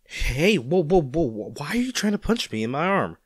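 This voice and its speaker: A man's voice: a little high, very animated, normal speed, husky, no echo, studio quality. A man acting a caring, worried boyfriend in an intimate audio roleplay, speaking tenderly and coaxingly to his injured partner. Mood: hurt, frustrated. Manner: tender, coaxing, hesitant, speaking directly to the listener.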